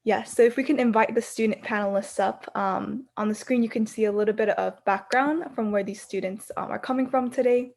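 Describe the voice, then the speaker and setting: A woman's voice: a little high, a little fast, vocal fry, hardly any pauses, darkish tone. A woman moderating an online webinar panel over a video call, in a friendly, um-filled way.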